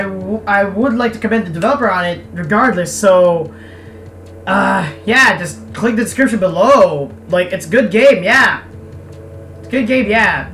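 A man's voice: high voice, a bit bright, a little hiss or hum. A young man giving casual, sweary, exasperated let's play commentary as a gaming video host. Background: game music.

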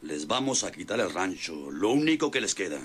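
Blustering, low-voiced Mexican ranch boss. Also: male voice, big pitch swings, fairly quick, husky, full character voice. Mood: gloating. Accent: rural northern Mexican. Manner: folksy, blustering, domineering. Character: boastful, bossy male ranch boss with a lame leg. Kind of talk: old-fashioned radio drama acting.

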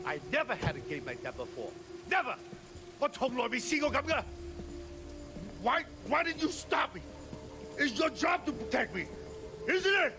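An actor playing a furious, paranoid crime boss in a video game, ranting and shouting as he blames others. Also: extremely high pitch, big pitch swings, normal speed, long pauses, music in the background, exaggerated voice. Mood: furious, blaming. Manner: ranting, shouting, switching into Cantonese. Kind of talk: video game cutscene acting.

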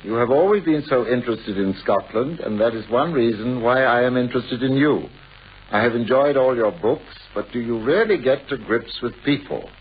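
A man's voice: medium pitch, normal speed. An actor playing a wry, self-doubting male novelist in a 1960s radio play, urbane and wordy. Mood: reading aloud, measured. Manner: urbane, wordy, wryly complaining. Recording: muffled, raspy old radio recording.

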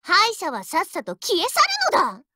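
A woman's voice: extremely high pitch, huge pitch swings, brisk, bright, forceful tone, no room sound, clean studio sound, exaggerated voice. A woman voicing a haughty, childish anime warship girl in a mobile game, boastful and tsundere in manner. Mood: smug, boastful. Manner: boastful, tsundere, ends sentences with a verbal tic. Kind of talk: Japanese anime game character voice lines.

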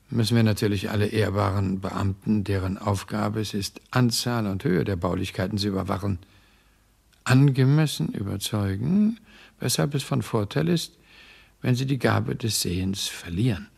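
An actor playing a sly, obliging corrupt official in a German radio play, speaking in formal, measured euphemisms. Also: male voice, on the low side, gravelly, a little mellow, breathy edge. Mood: smooth scheming.

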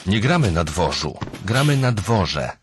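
A man's voice: deep voice, sing-song, measured pace, background noise. A man reading scripted humorous narration in a didactic, wry manner, with music underneath.

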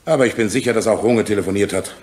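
An actor playing a sober, matter-of-fact former telephonist testifying in a radio drama, measured and firm. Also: male voice, lowish voice, a little mellow, natural voice. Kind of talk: German radio drama acting.

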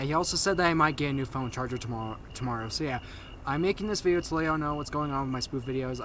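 A young man who stutters, giving a casual update to camera in a halting way, repeating and restarting his phrases. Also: mid-range voice, pushed and bright, a little hiss or hum. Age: young adult. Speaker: video maker giving a channel update. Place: outdoors at night on a paved path.

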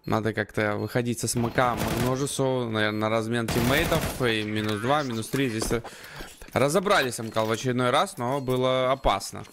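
Male game caster over clear gunfire noise. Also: mid-range voice, moderate pace. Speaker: esports commentator. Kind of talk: live match commentary and analysis. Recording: noisy, gunfire and clicks behind commentary. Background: game gunfire and clicks.

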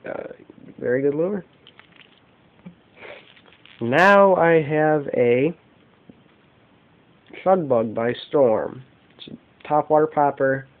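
A man giving an unscripted show-and-tell as an amateur vlogger, talking in halting, short phrases on a camera microphone indoors. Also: fairly high pitch. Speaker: amateur fishing vlogger showing lures. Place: indoors, carpeted room at home. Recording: dull, muffled lo-fi home video.

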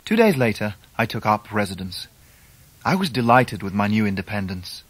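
An actor playing a grown English gentleman narrating his own youth in a measured, literary radio drama style. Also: lowish voice, very animated. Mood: reflective. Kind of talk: scripted first-person drama narration.

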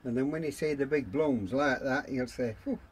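A man's voice: medium pitch, moderate pace, husky. A man, chatting plainly and bluntly in short phrases as he explains gardening on an allotment. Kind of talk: casual explanatory chat. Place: open-sided greenhouse on an allotment. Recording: noisy handheld outdoor camera audio.

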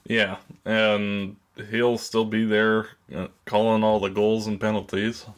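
Low-voiced podcast co-host man. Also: level tone, takes it slowly, smooth. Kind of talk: casual chat.

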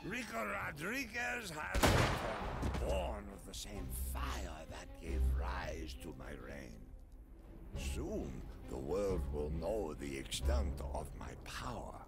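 A theatrical, menacing military dictator for a video game, with loud music underneath. He speaks very slowly in a creaky growl, grandiose and cold. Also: low voice, sing-song, heavily acted. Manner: slow, deliberate, grandiose.

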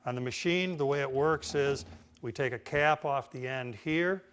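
A man's voice: mid-range voice, normal speed, fairly bright tone, a little hiss or hum. Middle-aged American man teaching, folksy. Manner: informal, folksy explaining. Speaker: woodworking instructor. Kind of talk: instructional demonstration to camera. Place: indoor woodworking shop.

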